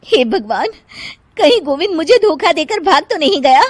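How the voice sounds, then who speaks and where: A woman's voice: high voice, very animated, normal speed, no echo, studio quality. A woman voicing a young, quarrelsome and deceitful daughter-in-law in a cartoon story, with emphatic, dramatic delivery. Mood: tearful, distraught. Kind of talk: Hindi cartoon story voice acting.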